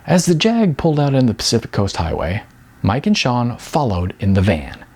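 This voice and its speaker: A man's voice: mid-range voice, huge pitch swings, moderate pace, darkish tone. A man reading his novel aloud as scripted audiobook narration. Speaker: author reading own novel.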